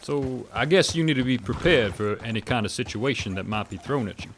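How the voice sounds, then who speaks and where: A man playing a deadpan teasing railwayman. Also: mid-range voice, fairly quick, slight background noise. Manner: deadpan, mock-polite teasing. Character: wry teasing male railway worker. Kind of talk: radio drama acting.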